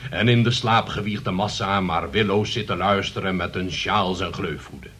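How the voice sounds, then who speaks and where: An actor in a Dutch radio play playing a pompous, long-winded middle-aged uncle who moralizes about politics. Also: male voice, deep voice, moderate pace, a bit bright, breathy edge. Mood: pompous, indignant.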